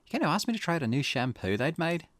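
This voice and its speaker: A man's voice: on the high side, big pitch swings, fast talker, a bit bright, no echo, clean studio sound, pitched above the performer's own voice. A man voicing a tough, grieving young woman in visual novel game dialogue, with an indignant, dramatic delivery. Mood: distraught recollection.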